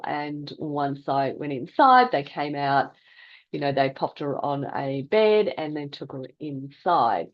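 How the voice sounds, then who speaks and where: Aussie woman telling a personal story real slow, lots of pauses, kinda low voice, bit muffled.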